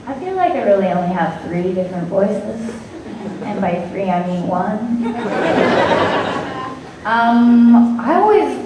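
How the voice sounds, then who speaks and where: A woman's voice: mid-range voice, big pitch swings, slow pace, long pauses, noisy background. A woman voice actress on a convention panel, joking about her own voice in a self-deprecating, playful way. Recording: echoey hall from far off.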